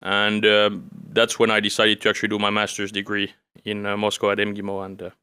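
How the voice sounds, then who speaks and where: Young guy raised in france, low flat voice, calm and steady, lots of uhs, dry close mic. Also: clean tone, no echo. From Finland, raised in France. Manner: calm, measured, with frequent fillers. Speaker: guest, OSINT analyst. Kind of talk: casual interview conversation.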